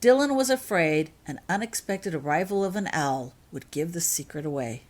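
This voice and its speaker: A woman's voice: on the low side, expressive, moderate pace. A woman reading her own fan fiction aloud as scripted storybook narration.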